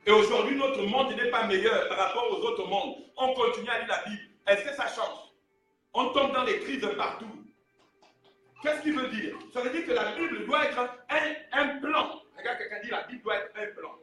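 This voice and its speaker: High, bright-voiced Gabonese preacher. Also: male voice, moderate pace, soft background music. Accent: Gabonese French. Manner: fiery preaching, rising to shouts, urging the congregation. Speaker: pastor. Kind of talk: sermon. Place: church hall with a congregation. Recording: very echoey hall recorded from a distance.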